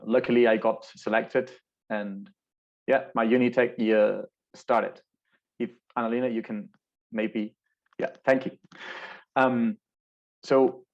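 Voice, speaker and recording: Gravelly Spanish man on a dull call. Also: fairly low pitch. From Spain. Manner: earnest, repeats words while searching. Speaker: alumni speaker. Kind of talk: virtual presentation. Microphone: video call. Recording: dull lo-fi video call audio.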